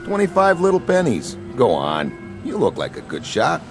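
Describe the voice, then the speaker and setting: Gravelly, pushy shooting-gallery hawker. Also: male voice, medium pitch, wildly swooping pitch, normal speed. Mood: pushy, chummy. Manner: pushy, chummy hawking. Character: pushy, chummy shooting gallery carnival operator. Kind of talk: radio drama acting. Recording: radio drama with music behind.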